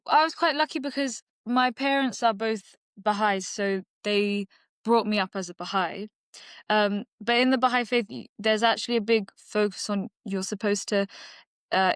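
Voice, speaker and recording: A woman talks through her answers in a podcast interview, pausing often and restarting her thoughts. Her voice is smooth and clear, and it is recorded close and dry. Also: mid-range voice, no room sound. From United Kingdom. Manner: reflective, many fillers and restarts. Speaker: guest, dentist and singer-songwriter. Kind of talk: conversational interview.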